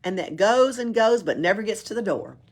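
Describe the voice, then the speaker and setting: A woman's voice: medium pitch, animated, a little mellow, pitched above the performer's own voice. A woman voicing a cheeky, bold little red-headed girl in a children's storybook, with emphatic, confident delivery. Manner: emphatic, drawn-out counting and confident retorts.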